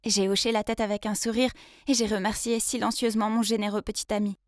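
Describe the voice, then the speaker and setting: A woman voicing an earnest young female office intern who tells her love story in an expressive, confiding way. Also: mid-range voice, fast talker, no room sound, clean studio sound, lightly acted. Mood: relieved, confiding. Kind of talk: dramatised first-person story narration.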